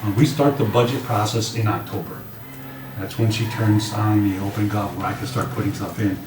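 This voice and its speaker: A man with a low, slightly raspy voice briefs a committee in a conversational, plain-spoken way. He is picked up from a distance in an echoey room, with noticeable noise behind him. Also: fairly even intonation, brisk. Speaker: public works director. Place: indoor meeting room. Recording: roomy, distant mics with clear background noise.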